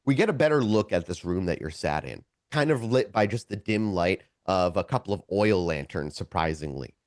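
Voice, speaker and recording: A man with a low-pitched, animated voice acts as game master for a tabletop RPG. He improvises vivid scene narration for his players, often stopping to rephrase. The sound is close and dry. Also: no echo. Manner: halting, self-correcting, searching for words.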